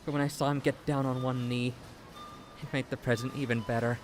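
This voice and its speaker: A man's voice: mid-range voice, level tone, brisk, soft background music, close to an everyday voice. A man playing a friendly, upbeat man in his early twenties in an audio drama, with a casual, playful manner. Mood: happy. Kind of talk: audio drama acting of fan fiction.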